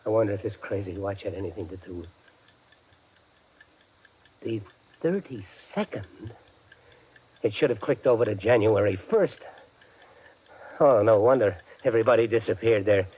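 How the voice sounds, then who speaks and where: A desperate everyman gambler played by a male actor in an old radio suspense drama. His agitated, halting voice swings widely in pitch, on a muffled recording with a faint hiss. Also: expressive, natural voice. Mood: bewildered. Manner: agitated, halting, emotionally intense. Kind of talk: 1950s radio suspense acting.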